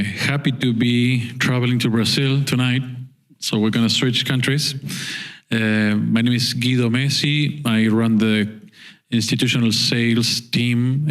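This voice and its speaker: A man speaking on a conference panel, earnest and repeating words as he works out his points. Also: mid-range voice. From Argentina.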